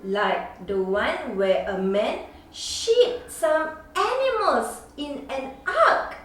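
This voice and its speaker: A mother with a Singaporean accent reading a children's picture book aloud, expressive and sing-song, with gasps. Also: sits high, drawn-out words. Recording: echoey room, mic at a distance.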